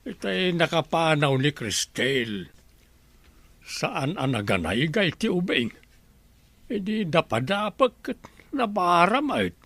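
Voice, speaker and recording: Guy acting as older ilocano filipino husband in radio drama, exasperated, highish voice, really animated. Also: takes it slowly, pauses often, no echo, heavily acted. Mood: concerned. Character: older husband scolding his heartless wife, protective of child.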